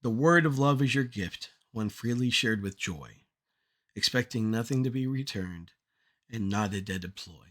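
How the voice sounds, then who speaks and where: A man with an average-pitched voice recites verse as a poet at a read-through. His delivery is earnest and deliberate, with the lines broken into short, measured phrases. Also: expressive, fairly bright tone. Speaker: poet reading own work. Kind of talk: poetry recitation at a draft table read.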